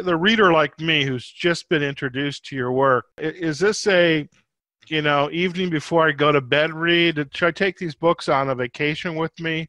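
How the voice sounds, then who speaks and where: Bright-voiced man hosting a podcast. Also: on the high side, no room sound. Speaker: podcast host. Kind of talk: conversational interview hosting.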